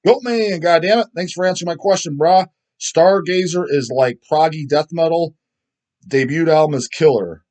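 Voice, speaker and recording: A man's voice: fairly high pitch, moderate pace, darkish tone. A middle-aged man hosting a casual video, rambling through his opinions in a blunt, crude, emphatic way with heavy swearing. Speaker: host answering viewer comments.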